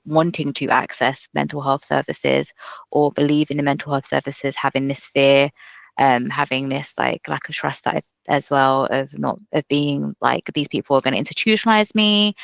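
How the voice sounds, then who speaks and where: Brief: halting, sincere charity founder on a webinar panel, gravelly. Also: medium pitch, a bit bright, no echo. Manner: earnest, halting with many fillers. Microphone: video call.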